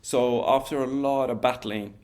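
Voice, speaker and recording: A man, telling a story as a podcast guest in a relaxed, measured way with frequent restarts. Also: mid-range voice. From Norway. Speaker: guest, wingsuit pilot.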